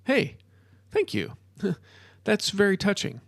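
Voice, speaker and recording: A man voicing an obsessive, excitable modern-day wizard in an audiobook story, with animated, emphatic lecturing. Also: high voice, takes it slowly, creaky, dry recording, clean studio sound, voice pushed up, full character voice. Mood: touched.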